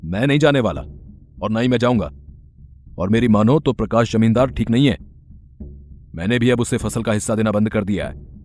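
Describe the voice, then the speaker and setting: A man's voice: fairly low pitch, a little fast, long pauses, darkish tone, faint music behind, natural voice. A man playing a stern, self-assured village man with a firm, blunt manner in a moral story. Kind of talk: Hindi moral story voice acting.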